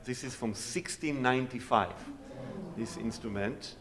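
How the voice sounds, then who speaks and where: A man's voice: medium pitch, unhurried, a bit bright. Brief: male cello soloist, Hebrew native, easygoing joking stage talk, long hall reverb. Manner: chatty, joking storyteller. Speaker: guest soloist cellist. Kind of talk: informal talk and audience Q&A on stage.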